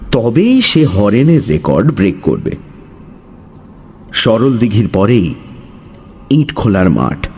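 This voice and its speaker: A man narrating a scripted horror story in a dramatic, suspenseful way, with deliberate pauses. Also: fairly low pitch, darkish tone, a little hiss or hum.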